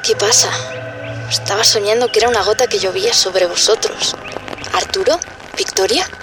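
A woman's voice: medium pitch, moderate pace, music in the background, close to an everyday voice. A woman with an accent from Spain acting a dreamy, bewildered character in a radio drama. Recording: noisy outdoor ambience.

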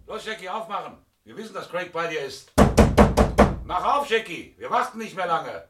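An actor playing a shrewd, sardonic police inspector in a crime radio play, wry and teasing with suspects. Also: male voice, a little high, lively intonation, normal speed, heavy background noise, natural voice. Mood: mocking, commanding.

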